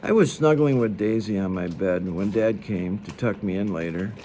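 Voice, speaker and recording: A man's voice: fairly low pitch, moderate pace, mellow, slight background noise. A man reading a children's novel aloud as a storyteller.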